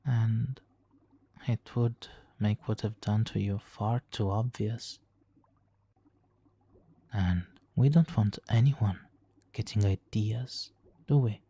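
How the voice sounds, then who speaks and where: A man playing a smug, seductive supervillain hypnotist in an ASMR roleplay, speaking softly and theatrically with lapses into whispers. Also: on the low side, slow pace, pauses often, slightly rough, exaggerated voice. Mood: pleased, hypnotic.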